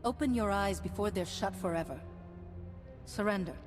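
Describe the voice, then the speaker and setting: A woman voicing a calm, principled, resolute Jedi knight in a video game, earnest and commanding. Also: medium pitch, normal speed, natural voice. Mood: earnest appeal. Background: game music.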